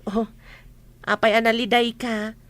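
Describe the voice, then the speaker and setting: An actress playing a chatty, coaxing friend in an audio drama. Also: female voice, a little high, level tone, unhurried, a bit bright. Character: chatty, coaxing woman friend spreading reunion news. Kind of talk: Ilocano audio drama acting.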